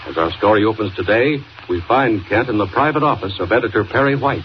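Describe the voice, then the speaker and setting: A man's voice: lowish voice, fast talker. A man announcing a radio serial in dramatic, declamatory 1940s style. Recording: muffled, noisy 1940 radio recording.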